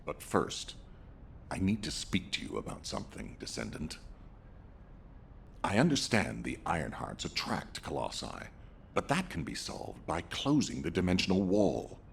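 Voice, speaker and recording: An actor playing a commanding, resolute military leader in a video game, with an authoritative, measured and formal delivery. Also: male voice, low-pitched, wildly swooping pitch, vocal fry, a little hiss or hum, full character voice. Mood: firm, deliberate.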